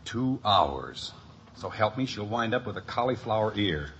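Wildly animated, exasperated family dad. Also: male voice, lowish voice, normal speed. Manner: dry, teasing sarcasm. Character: exasperated, sarcastic suburban family father. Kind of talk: 1950s radio sitcom acting. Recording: dull, slightly noisy old radio recording.

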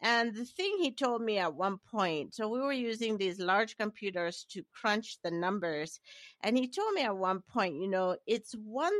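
A middle-aged woman from Nigeria, a company founder and guest, answering interview questions in a measured way with frequent fillers. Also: medium pitch, sing-song, no echo, studio quality.

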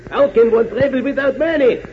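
An actor playing a sneering, bullying army captain with a German accent, barking contemptuously in 1940s radio drama style. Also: male voice, very high-pitched, a little fast. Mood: impatient, contemptuous. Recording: noisy, muffled 1940s radio recording.

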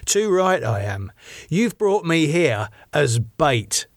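A man voicing an agitated, defiant man, pitched up. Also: very animated, takes it slowly, no echo, studio quality. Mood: angry, accusing. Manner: stammering, sweary, snapping outbursts. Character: agitated, defiant man, haunted and bitterly sarcastic. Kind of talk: audiobook character dialogue.

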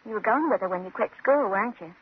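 A woman's voice: medium pitch, animated, quick pace, breathy edge. An actress playing a friendly, wistful young single woman, chatty and a little nervous, in a 1950s radio thriller. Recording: muffled, noisy old radio recording.

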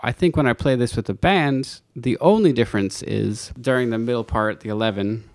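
A man's voice: medium pitch, brisk, soft background music. A middle-aged man, a singer and guitarist, talking thoughtfully about his song in a casual interview.

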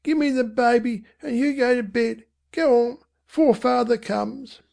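Woman reader doing a tired whiny mum, voice pushed up high, faltering and doleful, storybook. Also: measured pace, vocal fry, a little mellow. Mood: faltering reproach. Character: weary, listless slum mother, feebly clinging to respectability. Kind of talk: audiobook character voice.

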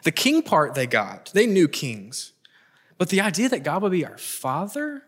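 A middle-aged man preaching a prepared sermon, earnest and emphatic, with deliberate pauses and repeated phrases for weight. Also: on the high side, very animated, slightly rough.

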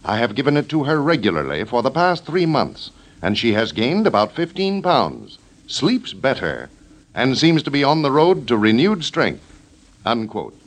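A man's voice: medium pitch, big pitch swings, normal speed. An American man reading scripted copy as a 1930s radio announcer, formal and emphatic. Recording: 1930s radio broadcast recording.

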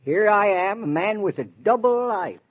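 A man's voice: sits high, animated, normal speed. An actor playing a folksy, talkative older handyman with a rural American accent, rambling in homespun wisdom in 1940s radio drama style. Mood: teasing, sage. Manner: rustic, rambling, homespun philosophizing. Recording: dull vintage 1940s radio recording.

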